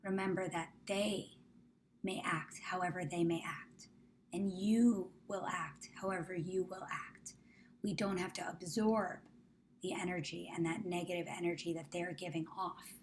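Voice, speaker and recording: A woman guides a meditation in a fairly low voice at a very slow pace. Her delivery is soothing and measured, with phrase endings softening toward a whisper. Ambient noise sits faintly but noticeably behind her. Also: steady tone. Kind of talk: guided meditation, spoken to the listener. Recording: clear background ambient noise.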